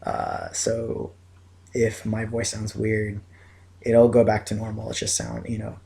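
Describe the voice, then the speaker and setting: Groggy young man, scratchy gravelly voice. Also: fairly low pitch, animated, unhurried, mellow. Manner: groggy, rambling, lots of fillers. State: scratchy voice after surgery. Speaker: vlogger. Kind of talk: casual chat to camera. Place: in bed at home.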